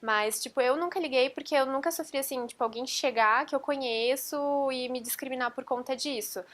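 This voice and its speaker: A woman's voice: high voice, a little fast, fairly bright tone. A young woman talking casually in an interview, chatty and full of fillers. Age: young adult.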